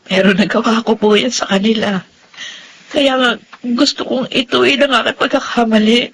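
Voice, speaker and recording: A woman's voice: fairly high pitch, steady tone, normal speed, a bit bright, slight background noise, poor audio. A Filipino woman acting a remorseful young woman in a Tagalog radio drama, earnest and emotional.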